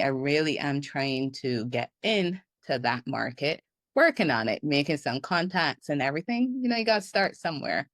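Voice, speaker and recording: A woman from Barbados, a business consultant talking casually as an interview guest, animated and quick to laugh. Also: a bass voice, no echo. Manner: animated, laughs often, acts out her own inner thoughts.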